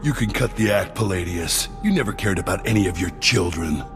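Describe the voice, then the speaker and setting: An actor playing a blunt, rough street rebel in a video game, talking in clipped, colloquial phrases. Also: male voice, low voice, animated, brisk, big-room sound, over music. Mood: gruff, defiant.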